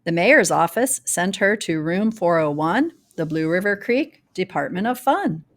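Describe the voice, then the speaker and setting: A woman reads a rhyming children's storybook aloud, telling it in a dramatic, deliberate way with varied emphasis. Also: fairly low pitch, lively intonation. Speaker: storybook reader. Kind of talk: expressive read-aloud of a rhyming children's picture book.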